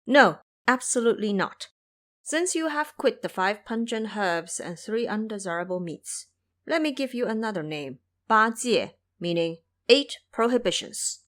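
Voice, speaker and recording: A woman's voice: mid-range voice, lively intonation, a bit bright. Woman as a composed, pious monk master. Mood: firm. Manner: formal, measured, courteous. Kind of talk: audio drama character voice.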